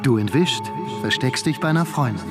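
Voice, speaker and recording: A man's voice: mid-range voice, expressive, a little fast, over loud music, natural voice. An actor in a radio play as a familiar, teasing male comrade prodding an old friend. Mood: insistent reminding. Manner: chummy, prodding. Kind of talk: radio play acting, dialogue.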